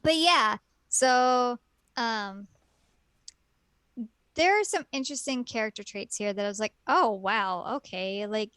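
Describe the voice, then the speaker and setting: A woman's voice: fairly high pitch, a little slow, pauses often, fairly bright tone, clear, resonant tone, no room sound. A woman co-hosting a casual podcast chat, rambling and giggly with lots of fillers. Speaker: podcast co-host.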